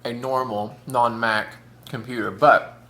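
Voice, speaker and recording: A man's voice: medium pitch, steady tone, measured pace, pushed and bright. A man, chatting casually and campily while eating on camera, sometimes with his mouth full. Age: 30s. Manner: chatty, camp, says "y'all" and "honey". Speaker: mukbang vlogger.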